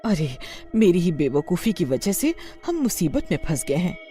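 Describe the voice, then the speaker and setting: Anxious protective mother, story role, played by a woman: fretful, animated, mellow, faint music. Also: on the low side, normal speed. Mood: worried. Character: anxious young mother, scolding yet protective.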